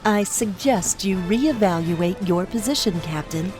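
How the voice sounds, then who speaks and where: A woman's voice: mid-range voice, animated, a little slow, a little mellow, soft background music, natural voice. A woman playing a cool, formidable female dog pirate captain in an audio drama. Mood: quietly threatening.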